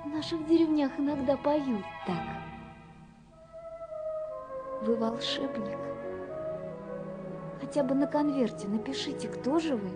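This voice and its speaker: A woman's voice: sits high, moderate pace, over music, natural voice. An actress playing a tender, dreamy young woman in love, reading her letters in a wistful, intimate way for a radio drama. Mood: wonder-struck. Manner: wistful, intimate, softly wondering.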